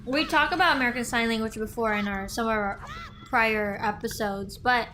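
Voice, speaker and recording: A woman's voice: a little high, normal speed, pushed and bright, faint music behind. A young woman co-hosting a gaming show, telling a long story in a casual, meandering way with many restarts. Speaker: co-host of a gaming channel. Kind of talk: casual chat over gameplay.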